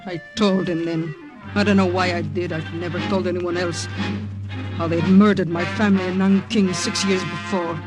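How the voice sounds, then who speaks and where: An actress playing a bitter, guarded waitress from a waterfront dive in a 1950s radio drama. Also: female voice, lowish voice, level tone, normal speed, over music, close to an everyday voice.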